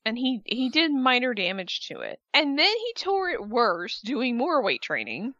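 A woman co-host of a talk radio show, chatty and animated. Her voice is bright and swings widely in pitch. The studio sound is dry and close. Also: measured pace, no echo, lo-fi sound. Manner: animated, chatty, insists her voice doesn't go low. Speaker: podcast co-host. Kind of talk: casual wrestling talk radio.

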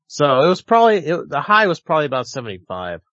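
A man co-hosting a casual talk show, dry and deadpan. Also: fairly high pitch, fast talker, no room sound. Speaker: podcast co-host. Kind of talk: casual chat. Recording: dull lo-fi dry sound.

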